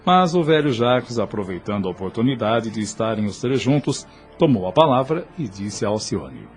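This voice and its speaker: A man's voice: lowish voice, big pitch swings, normal speed, soft background music. A man narrating a scripted audio drama.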